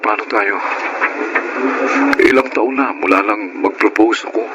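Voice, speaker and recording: A man's voice: on the low side, a little slow, very noisy, natural voice. A man playing a frustrated, insistent fiancé, earnest and reproachful, in a radio drama. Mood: frustrated, pressing.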